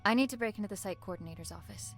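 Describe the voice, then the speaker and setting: A woman's voice: medium pitch, racing, soft background music, lightly acted. A woman playing a weary, troubled test worker in a video game, speaking earnestly and hesitantly. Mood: hesitant, guarded.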